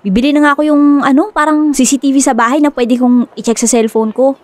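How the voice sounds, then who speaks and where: An actress plays an excitable young woman who dotes on her pet, in a radio drama. Her voice is high and gushing, chatty and stubborn, recorded close and dry. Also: quick pace, dry recording, natural voice. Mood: impatient, fretting. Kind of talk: Filipino radio drama acting.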